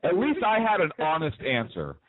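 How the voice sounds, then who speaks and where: An American man tells his stories with lively swings in pitch and teases his co-host. The sound is dry and close. It comes through a narrow, phone-like band. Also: normal speed, no room sound. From United States. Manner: animated storyteller, teasing. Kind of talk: casual political talk banter.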